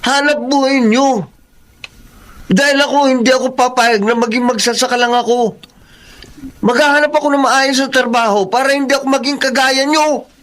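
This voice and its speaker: A man's voice: extremely high pitch, fairly even intonation, moderate pace, fairly bright tone. A man playing a young farmers' son, resentful and ashamed of his parents, in a Filipino radio drama. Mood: defiant, exasperated. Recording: dramatic music behind the voice.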